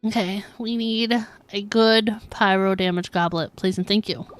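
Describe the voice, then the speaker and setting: A woman's voice: medium pitch, fairly even intonation, normal speed, faint music behind. A woman livestreaming a game, chatting casually and informally with her viewers. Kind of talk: casual chat while streaming gameplay.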